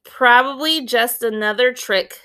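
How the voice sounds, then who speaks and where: A woman voicing a brisk, unflappable old country woman doctor in a storybook, curt and no-nonsense. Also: fairly high pitch, sharp tone, clear, resonant tone. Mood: unconcerned.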